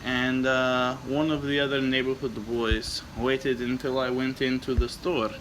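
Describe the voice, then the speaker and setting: A man playing a wistful, solemn Bulgarian recalling his childhood, deadpan and halting, in broken English. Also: medium pitch, noisy background, natural voice. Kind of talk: improvised comic in-character storytelling.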